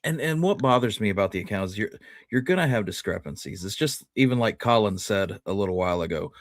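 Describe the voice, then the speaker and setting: A man's voice: fairly low pitch, lively intonation, fast, dry recording, clean studio sound. A man hosting a history show, talking casually and often restarting his phrases as he makes a point.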